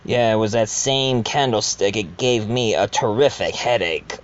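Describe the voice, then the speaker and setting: A man's voice: fairly low pitch, measured pace, a little hiss or hum, lightly acted. A man playing the wry ghost of a murdered doctor who chats to the listener about his own murder in an amateur audio drama, on a muffled recording. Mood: dry, amused.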